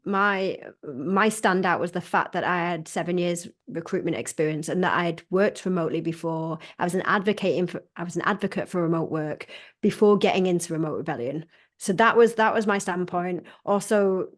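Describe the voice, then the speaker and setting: A woman career coach speaks as a webinar guest, earnest and a little halting, often repeating a word as she restarts. Her voice sits on the lower side of middle. She comes through a video call. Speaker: remote job coach, former recruiter.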